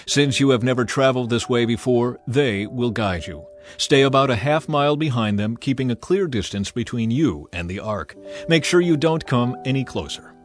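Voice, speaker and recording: A man's voice: medium pitch, lightly acted. A man voicing a commanding Israelite officer giving emphatic, formal instructions in a scripture dramatization.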